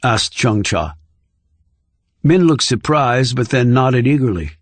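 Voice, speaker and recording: A man narrates a thriller audiobook in a mid-pitched voice. The delivery is scripted storytelling, recorded dry with no room sound. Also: expressive, slow, dry recording.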